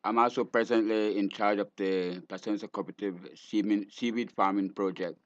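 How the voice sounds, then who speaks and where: Low, slightly muffled Belizean man. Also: fairly even intonation, normal speed, no room sound. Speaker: guest, fisherman. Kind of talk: radio interview introduction. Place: radio studio.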